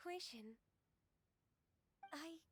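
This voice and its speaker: An actress playing a gentle, modest, young-sounding senior secretary in a video game, with polite, reserved delivery. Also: female voice, very high voice, expressive, extremely slow, a little hiss or hum, exaggerated voice. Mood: touched, sincere. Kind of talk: scripted game dialogue. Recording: game audio with faint music behind.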